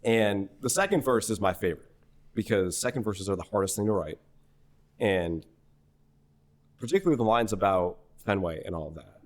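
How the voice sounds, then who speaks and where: A young man from New Hampshire, a singer-songwriter guest in a podcast interview, telling a story in a rambling, animated way full of restarts. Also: on the low side, moderate pace, long pauses. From New Hampshire, USA. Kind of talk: casual conversational interview.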